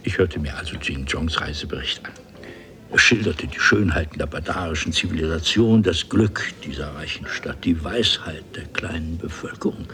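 An actor playing a haughty, eloquent nobleman from an ancient civilization, telling his story theatrically and deliberately in a 1970s German radio play. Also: extremely low pitch, bright, forceful tone. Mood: lofty, explaining.

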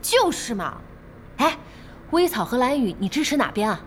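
Feisty, high-voiced female cafe boss. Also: slight background noise. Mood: brisk, curious. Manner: blunt, expressive, quick to scold. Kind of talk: audio drama dialogue.

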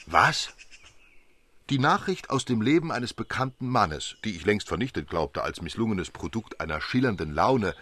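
An actor playing a grumbling, ironic literary gentleman in a radio drama, speaking with wry, theatrical emphasis. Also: on the low side, big pitch swings, moderate pace, exaggerated voice. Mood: mock indignation.

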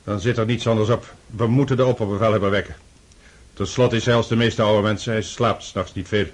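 An actor playing a brisk, insistent senior Russian staff officer in a radio drama, with a husky, questioning delivery. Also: male voice, lowish voice, steady tone, close to an everyday voice. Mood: startled, decisive.

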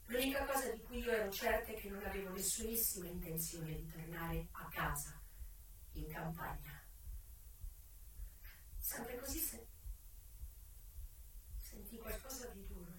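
A woman's voice: mid-range voice, expressive. Echoey, distant woman performing a theatrical monologue. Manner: dramatic, halting, with pauses and repetitions. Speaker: actress performing a solo stage monologue. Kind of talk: theatrical first-person storytelling of an adapted memoir. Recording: very echoey, distant mic, faint noise.

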